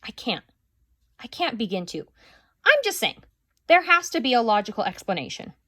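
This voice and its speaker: A woman's voice: on the high side, sing-song, moderate pace, bright, forceful tone, no room sound, studio quality, voice pushed up, exaggerated voice. Woman reading as a weary, skeptical dad. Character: tired, skeptical dad, wry and patient.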